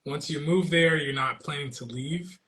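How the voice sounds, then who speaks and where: Higher-pitched American man, chatting casually. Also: normal speed, fairly bright tone. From United States. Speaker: guest, traveling parent. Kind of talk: casual chat.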